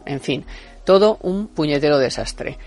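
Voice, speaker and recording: A woman's voice: low voice, animated, a little slow, a little mellow, soft background music. A woman hosting a radio talk show from a studio, talking in an emphatic, colloquial and sarcastic way.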